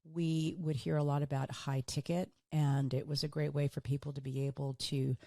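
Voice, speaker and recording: A woman hosting her own business podcast, talking earnestly on her own, a little hoarse and tired from illness. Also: sits low, little pitch movement, moderate pace, warm tone, no echo, clean studio sound. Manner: earnest, repeats for emphasis. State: hoarse, recovering from illness, fatigued. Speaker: podcast host. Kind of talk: solo business podcast monologue.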